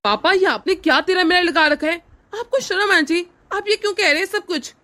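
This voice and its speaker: A woman's voice: high voice, expressive, brisk, a bit bright. A woman voicing a principled young son in a cartoon family drama, indignant and pleading. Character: upright young grown son, defending his mother.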